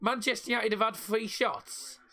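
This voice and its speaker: A man, voice croaky from a sore throat, giving casual live football commentary and rambling chat on his own stream. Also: high-pitched, moderate pace, sharp tone. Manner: casual, rambling, mixes up names.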